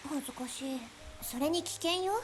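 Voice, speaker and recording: A woman's voice: high voice, quick pace, lightly acted. A woman voicing a proud, teasing seventeen-year-old girl in love in a visual novel. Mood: flustered, teasing. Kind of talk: Japanese visual novel voice acting. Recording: game music over the voice. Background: visual novel music.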